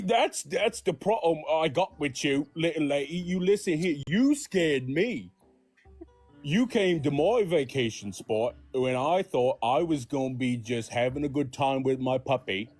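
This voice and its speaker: A man's voice: mid-range voice, darkish tone, no room sound, lightly acted. A man voicing a folksy, easily offended male Martian fire yeti with a Southern US accent, rambling in a comedy podcast. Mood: indignant. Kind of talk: comedic improv character.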